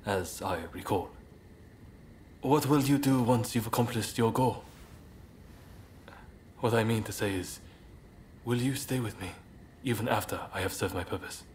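A thoughtful samurai rebel in a game cutscene. His voice sits fairly low and his phrasing is polite and measured. Clear ambient sound runs behind the words. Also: a little mellow, slight background noise. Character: earnest, soft-hearted samurai rebel leader, devoted and thoughtful. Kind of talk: video game cutscene acting.

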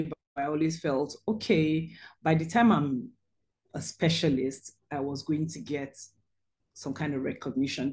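A woman's voice: deep voice, warm tone. A middle-aged woman surgeon hosting a webinar on a video call, telling her own story over slides in an earnest way with frequent ums.

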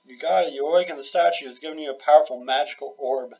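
A man's voice: on the high side, fast talker, darkish tone, slightly rough. A man giving casual, sarcastic and crude commentary while playing a game. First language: English. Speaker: game player and co-host. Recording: dull lo-fi mic, noisy, clicks. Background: computer clicks and typing.

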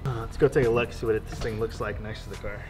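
A man's voice: on the low side, steady tone, quick pace. A man in his thirties talking casually in a laid-back, slangy way, like a shop vlog host. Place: in and around an auto shop and yard. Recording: music bed behind the voice.

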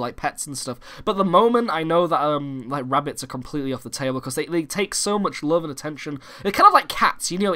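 A young man from the United Kingdom, hoarse and croaky from a cold, hosting a casual gaming-channel vlog and rambling with many fillers and restarts. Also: a little high, fairly bright tone. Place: home recording room.

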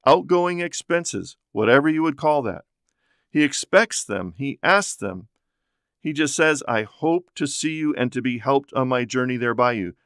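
Guy explaining bible passages podcast style, conversational, mid pitch, really dry recording. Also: lively intonation, measured pace, fairly bright tone, no room sound. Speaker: podcast host, Bible teacher. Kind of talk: Bible teaching talk, expository.

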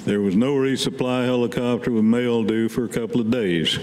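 An older man with a medium-pitched voice reads a war memoir from a podium. He is in a meeting room, picked up by a podium microphone with noticeable noise behind him. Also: fairly even intonation, brisk, darkish tone. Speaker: Vietnam veteran reading his own work. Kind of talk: staged reading of a war memoir poem. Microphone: gooseneck podium microphone. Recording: podium mic with background noise.

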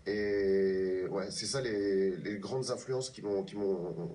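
Low-voiced man, recorded at a distance. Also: steady tone, slow pace, warm tone, slight background noise. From France, born in Paris. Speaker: guest, pianist and composer. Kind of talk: live TV interview. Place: TV news studio, replayed from a television. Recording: distant, off a TV screen, faint music.

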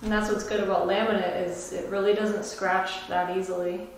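A woman's voice: mid-range voice, little pitch movement, normal speed. A woman in her thirties chatting casually as co-host of a renovation vlog. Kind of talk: casual chat. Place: empty bedroom under renovation, indoors. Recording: very echoey room, faint noise.

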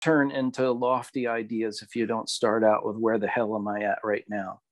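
A man co-hosting a podcast in casual, reflective discussion, halting as he searches for words. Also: lowish voice, level tone. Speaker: podcast co-host.

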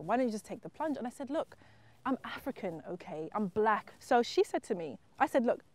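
A woman's voice: mid-range voice, darkish tone. Earnest woman from Zimbabwe, reflective. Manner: earnest, reflective, emphatic at times. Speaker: writer and entrepreneur. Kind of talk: personal interview monologue. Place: wheat field outdoors.

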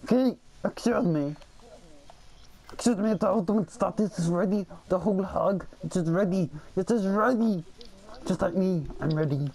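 A creaky-voiced player bantering casually during an online game, exclaiming and repeating things in a mock-pompous way. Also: medium pitch, moderate pace, dark tone, low-quality recording.

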